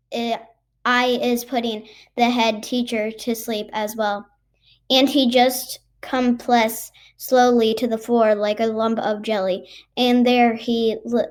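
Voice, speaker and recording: A woman reading a children's book aloud haltingly, stumbling over and repeating words. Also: on the high side. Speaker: storybook reader.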